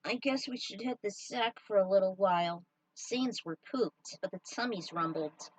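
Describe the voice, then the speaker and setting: A woman reading her own story aloud and chatting informally to her followers, with an excitable manner. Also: mid-range voice, moderate pace, dry recording, distant mic, low-quality recording. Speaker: fan fiction writer and narrator.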